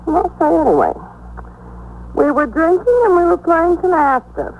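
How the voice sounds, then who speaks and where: A woman's voice: very high-pitched, huge pitch swings, moderate pace, narrow, tinny audio, full character voice. An actress playing a groggy, indignant young American woman with a whiny, slangy manner in 1950s radio drama style. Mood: evasive, groggy. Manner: whiny, slangy, put-upon. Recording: noisy 1950s radio recording.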